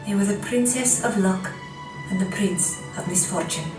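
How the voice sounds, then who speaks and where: A woman's voice: mid-range voice, normal speed, close to an everyday voice. A woman playing a solemn storyteller recounting a legend in an audio drama. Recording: echoey distant playback with music.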